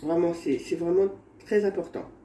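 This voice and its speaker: A man with a cold talks his viewers through his home remedies in a rambling, halting way, circling back over the same phrases. His voice is fairly high, dark and mellow. The sound is caught on a phone in an echoey room with a faint hiss behind him. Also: steady tone. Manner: rambling, repeats himself, halting restarts. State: has a cold. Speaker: vlogger. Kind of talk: casual home how-to vlog.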